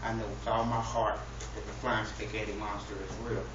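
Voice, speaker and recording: A man's voice: lowish voice, little pitch movement, fairly quick. Man, public speaker, joking preacher-like delivery, heavy room echo, muffled recording. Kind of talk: humorous persuasive speech to a live audience. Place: echoey indoor room with an audience. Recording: distant, very echoey, noisy, muffled room recording.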